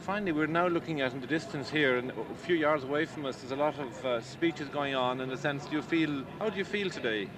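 A male reporter asking measured, somewhat hesitant questions and narrating, recorded outdoors at a ceremony. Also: fairly high pitch, crowd noise. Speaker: radio reporter. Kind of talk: field interview and narration.

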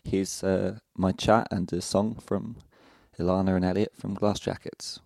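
A man hosting a podcast in casual chat, rambling with lots of fillers and quick laughs. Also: low voice, level tone, creaky, darkish tone, no room sound, studio quality. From Wales and Australia. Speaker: podcast host.

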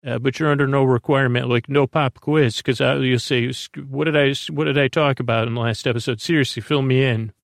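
A man hosting a bedtime podcast in a creaky voice, rambling in a halting, meandering way and often trailing off mid-thought. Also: medium pitch, fairly even intonation, dry recording, clean studio sound. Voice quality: gravelly, creaky. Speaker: host of a bedtime sleep podcast. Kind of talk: rambling, soothing monologue. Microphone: close podcast microphone, dry.

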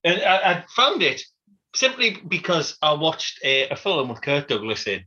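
A man's voice: a little high, big pitch swings, normal speed, pushed and bright. A man, co-hosting a casual chat with dry, self-mocking jokes. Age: 40s. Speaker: podcast co-host. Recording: dull lo-fi sound.

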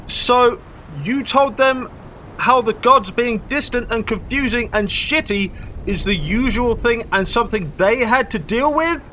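A suspicious, worried friend in an audio drama, acted by a man, speaks in a very high male voice with a bright, forceful edge. He probes and accuses pointedly. Light ambient noise sits behind him. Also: measured pace, exaggerated voice, poor audio. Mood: skeptical, probing. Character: Colin, suspicious, worried male old friend confronting a companion.

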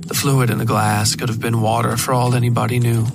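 A man's voice: mid-range voice, flat delivery, fairly quick, vocal fry. A man reading scripted horror fiction as the narrator of an audio story. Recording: prominent music under the voice.

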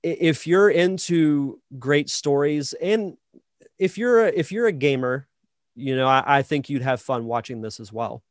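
A man's voice: a little high, a little slow, dry recording, studio quality. A man hosting a podcast, chatting through a casual interview in a rambling, thinking-aloud way.

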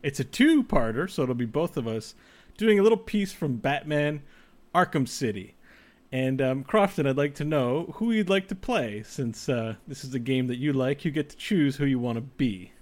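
A man's voice: medium pitch, lively intonation, normal speed, darkish tone. A man co-hosting a podcast in casual banter, dropping dry, joking asides. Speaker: podcast co-host.

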